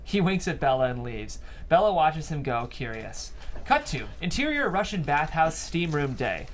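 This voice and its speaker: A man's voice: fairly high pitch, sing-song, normal speed, a little hiss or hum. A man reading stage directions as narrator at a script table read.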